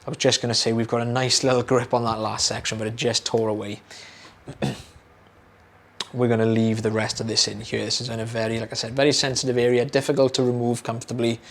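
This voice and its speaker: Slightly hoarse British man explaining calmly. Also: mid-range voice, brisk. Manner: relaxed, chatty, many fillers and repeated words. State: slightly hoarse, losing his voice. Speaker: audiologist. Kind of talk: explaining a procedure to camera.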